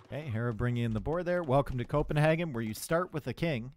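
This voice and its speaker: A man's voice: medium pitch, fast talker. A man with a Canadian accent giving chatty, joking live commentary on an esports match, with dry sarcasm. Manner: loud excited shouting in tense moments. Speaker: esports caster. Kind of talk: live game commentary with chat banter.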